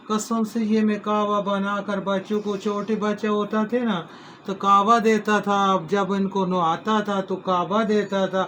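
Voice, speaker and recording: A man's voice: sits high, fairly bright tone, slight background noise. A middle-aged man, hosting a home cooking video in a folksy, rambling way, full of homely advice and blessings. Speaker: cooking channel host. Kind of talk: casual home cooking vlog.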